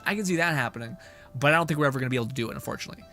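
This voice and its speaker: A man streams a game and comments on it casually as it goes. His delivery rambles and is full of slang and swearing. Quiet game music runs behind his voice. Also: medium pitch, racing.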